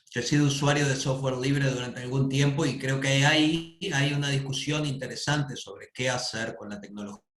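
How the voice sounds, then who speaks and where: A man giving a thoughtful, hesitant comment at an academic panel, often pausing with fillers. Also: medium pitch, a bit bright. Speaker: conference panelist. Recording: roomy echo.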